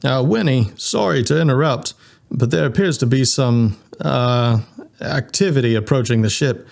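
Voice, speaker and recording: A man's voice: mid-range voice, normal speed, creaky, darkish tone, close to an everyday voice. A man voicing a friendly, polite ship's computer with an Australian accent in a sci-fi audio story. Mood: friendly, hesitant.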